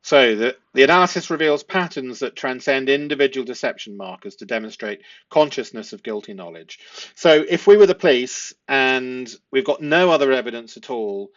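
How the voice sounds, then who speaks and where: A man giving analytical commentary to viewers as a host, speaking emphatically and deliberately, often with rhetorical tag questions. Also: mid-range voice, expressive, muffled recording. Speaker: host analysing a police interview.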